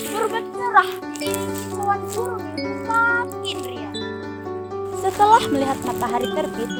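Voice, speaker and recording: A teenage young woman from Indonesia narrates a scripted folktale with dramatic, declamatory phrasing. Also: extremely high pitch. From Bima, West Nusa Tenggara, Indonesia. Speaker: student storyteller. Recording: loud music over the voice. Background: loud music.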